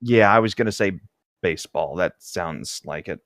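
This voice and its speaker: Male co-host, deep voice, dry close sound. Reads fiction aloud and drops in wry, easygoing asides. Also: moderate pace, fairly bright tone, dry recording. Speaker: co-host reading a novel aloud with commentary. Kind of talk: reading aloud with casual joking commentary.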